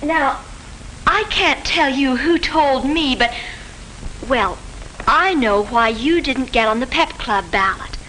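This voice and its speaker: An actress playing a pushy, gossipy high school girl in an old educational film, speaking in an earnest, insistent way. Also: animated, slow pace, sharp tone, exaggerated voice. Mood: hesitant concern. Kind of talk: 1950s educational film acting. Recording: noisy 1950s film soundtrack.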